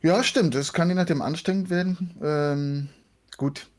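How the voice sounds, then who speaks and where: A man's voice: a little high, a little slow. A man who works as an actor and voice actor, answering interview questions casually. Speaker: guest, actor and voice actor.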